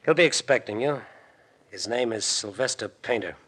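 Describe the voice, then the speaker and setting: Casting: menacing American mob boss, lowish forceful voice, commanding and terse, old-time radio crime drama. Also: male voice, normal speed, husky. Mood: businesslike, pressing. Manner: clipped, commanding, slangy. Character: tough, menacing gangster boss, bossy, bitter jilted husband.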